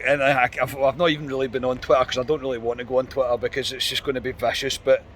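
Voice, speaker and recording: A man's voice: medium pitch, slight background noise. Scottish man hosting outdoors. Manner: animated, frustrated, quick restarts. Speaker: podcast host. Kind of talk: post-match fan reaction chat. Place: outdoors on a street.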